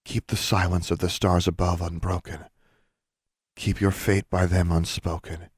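A man's voice: deep voice, little pitch movement, measured pace, slightly rough, no echo, studio quality. A man voicing a teenage girl witch who is desperate, defiant and insistent, as in an audiobook. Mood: solemn, incanting. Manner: insistent, challenging.

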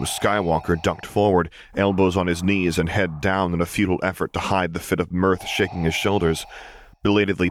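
Male audiobook reader with a deep register, delivering scripted dramatic narration over slight noise.

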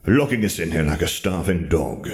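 Guy doing a bitter dark wizard for an audiobook, low soft commanding voice, very animated, scornful rants. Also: moderate pace. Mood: furious, scornful. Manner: ranting, scornful, articulate. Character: bitter, arrogant dark wizard, a voice inside a girl's head. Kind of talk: audiobook character voice.

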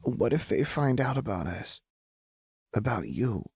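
A man voicing a fragile, volatile young woman in audiobook dialogue, ragged, strained and hushed, as if wounded and disbelieving. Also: medium pitch, big pitch swings, a little slow, vocal fry, breathy edge, no room sound, clean studio sound, pitched above the performer's own voice, exaggerated voice. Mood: anxious.

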